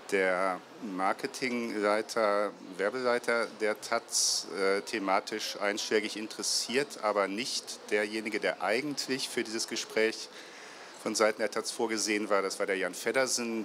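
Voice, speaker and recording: Ein Mann in den Fünfzigern moderiert ein Buchgespräch auf einer Messebühne vor Publikum, mit Handmikrofon, stockend und mit vielen Füllwörtern. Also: male voice, fairly low pitch, a bit bright, slight background noise. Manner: halting, many fillers and restarts. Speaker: moderator, publisher's marketing head. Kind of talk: moderated book talk. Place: trade fair stage before a seated audience. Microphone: handheld mic.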